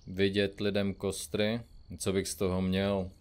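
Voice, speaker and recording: A man plays a stoic, grumbling male detective in a comedy radio play. His voice is low and his delivery is dry and deadpan, that of a confirmed grouch. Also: clean tone, lightly acted. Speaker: detective in a comedy mystery podcast. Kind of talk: audio drama acting.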